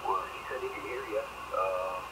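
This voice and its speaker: CB radio static, narrow band, low-pitched man, casual operator chat. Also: flat delivery, moderate pace.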